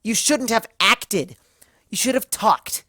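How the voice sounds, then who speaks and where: Storybook unicorn student, performed by a narrator: young female, raised pitch, cutting, accusing, righteous and sarcastic. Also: expressive, takes it slowly, dry recording, clean studio sound, full character voice. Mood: shouting, indignant. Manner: sharp, cutting, rhetorical questioning.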